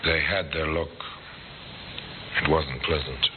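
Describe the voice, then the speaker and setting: A man's voice: very deep voice, steady tone, takes it slowly. A man acting as a brooding, portentous storyteller in an old-time radio crime drama, speaking in terse dramatic phrases. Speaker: narrator and host. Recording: tinny old radio recording, loud music. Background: dramatic music.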